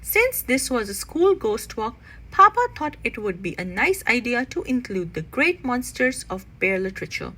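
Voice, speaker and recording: A woman narrating a children's storybook expressively, with dramatic, deliberate phrasing. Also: fairly high pitch, big pitch swings, pushed and bright. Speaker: children's read-aloud narrator.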